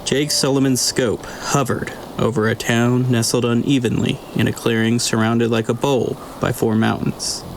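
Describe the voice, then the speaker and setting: Gravelly man narrating an audiobook. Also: medium pitch, level tone, moderate pace, hardly any pauses, noisy background. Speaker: author narrator.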